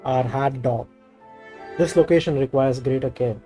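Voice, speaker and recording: Mellow man reading game dialogue. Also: medium pitch, normal speed, faint music behind. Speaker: game streamer. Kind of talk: reading game dialogue aloud while playing.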